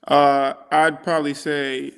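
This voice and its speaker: A man from Trinidad in his thirties, introducing himself on a panel in a relaxed, halting way with many fillers. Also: on the high side, creaky, smooth. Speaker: panelist, influencer marketer.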